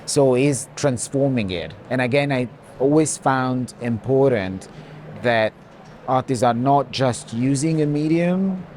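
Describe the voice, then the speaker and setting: Man discussing art amid clear background noise. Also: mid-range voice, measured pace, a little mellow. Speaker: art commentator. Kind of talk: unscripted art commentary in conversation. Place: walking through an art fair.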